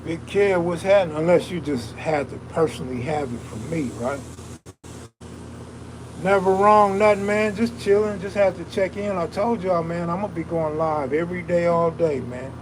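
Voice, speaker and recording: A man's voice: fairly high pitch, normal speed, noisy background. A man hosting a casual live stream, chatting in a laid-back, slangy, streetwise way with a soothing, soulful voice and reading comments aloud.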